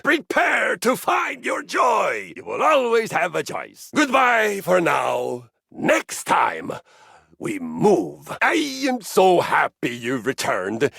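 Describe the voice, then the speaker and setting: An actor playing a grandiose, boastful, violence-loving exercise machine in a video game, talking in a theatrical, pompous way that swings from low murmurs to booming shouts. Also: male voice, gravelly, husky, no echo, clean studio sound, full character voice. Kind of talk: video game character acting.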